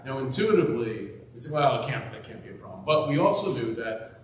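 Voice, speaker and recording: A man speaking on a panel, with a loud voice, a casual manner and self-deprecating asides. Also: mid-range voice, expressive, fairly quick, a little hiss or hum. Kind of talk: conversational panel talk. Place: event hall at a hospital. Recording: echoey room, far from mic.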